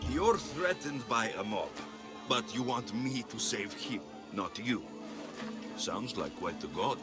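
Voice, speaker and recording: Wry hired-sword hero, mid-range voice, lively inflection, dry and self-assured game dialogue. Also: male voice, medium pitch, slow pace, music in the background. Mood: sardonic. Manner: sardonic, dry. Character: wry, confident male mercenary hero. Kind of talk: video game cutscene acting.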